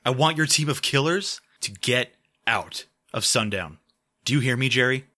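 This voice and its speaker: A man's voice: mid-range voice, animated, moderate pace, sharp tone. An actor playing a stern, evasive governor in an audio drama, firm in his delivery. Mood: angry, exasperated. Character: embattled male governor, stern, evasive, foul-mouthed in private.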